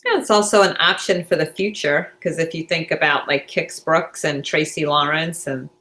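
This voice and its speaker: A woman's voice: sits low, steady tone, moderate pace, fairly bright tone. A woman co-hosting a podcast in casual chat. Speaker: podcast co-host.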